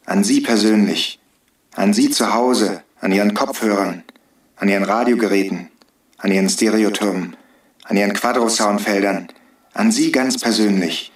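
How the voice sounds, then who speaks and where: Actor as a defiant hostage-taker, roomy and distant. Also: male voice, fairly low pitch, normal speed, natural voice. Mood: solemn preaching. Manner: declamatory, taunting, needling. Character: defiant drug-free hostage-taker, bitter, taunting, preaching agitator. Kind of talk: radio drama acting.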